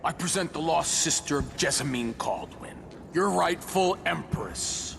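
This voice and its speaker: A man's voice: on the high side, lively intonation, a little slow, vocal fry, over music. A man playing a pompous, scheming duke in a video game, with theatrical, oratorical delivery. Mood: smug, ceremonious. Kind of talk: dramatic game acting.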